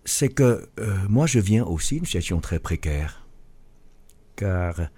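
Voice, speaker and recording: A middle-aged man from Vietnam answers interview questions earnestly, often restarting his sentences. His low, mellow voice moves with lively intonation. A slight huskiness runs through it. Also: slow pace, breathy edge. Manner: earnest, with frequent restarts and stumbles. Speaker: guest author and business leader.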